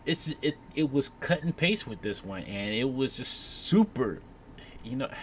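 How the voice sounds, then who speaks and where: Animated guy on a convention panel, swoopy emphatic delivery, normal pitch, faint room noise. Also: unhurried. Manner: emphatic, acts out imagined remarks. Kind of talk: casual panel chat. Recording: live panel with background noise.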